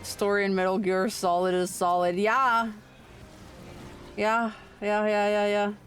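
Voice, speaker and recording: A woman's voice: mid-range voice, level tone, measured pace, faint music behind. A woman livestreamer chatting casually with viewers while she plays an online game, playful, informal and sweary. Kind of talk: casual chat with viewers during gameplay.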